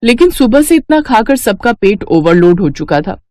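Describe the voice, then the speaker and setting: A woman's voice: medium pitch, sing-song, normal speed, warm tone. A woman narrating a scripted cartoon story.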